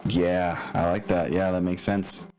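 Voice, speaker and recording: A man with a low voice works as game master of a tabletop game. He talks casually through rules and scenes, rambling a little as he thinks out loud, with music softly audible behind him. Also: little pitch movement, measured pace.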